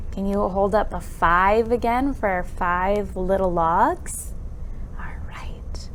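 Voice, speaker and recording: Slow, animated woman leading children's storytime. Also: mid-range voice, slight background noise. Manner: animated, exaggerated exclamations and questions to young children. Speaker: children's library storytime presenter. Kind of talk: interactive children's storytime with counting songs.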